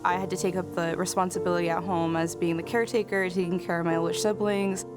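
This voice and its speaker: A woman's voice: lowish voice, flat delivery, fast talker. A young woman in her twenties, a graduating student, talking earnestly and casually about her studies in an interview. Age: 20s. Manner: earnest, casual, with light laughs. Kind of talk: reflective interview talk. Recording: interview with music underneath. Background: background music.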